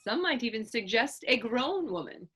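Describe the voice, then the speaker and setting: A woman's voice: a little high, big pitch swings, moderate pace, fairly bright tone, slightly rough, exaggerated voice. A woman playing a bold, teasing grown woman in readers theater, with playful, lilting old-fashioned dialect. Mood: flirtatious teasing. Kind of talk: readers theater stage acting.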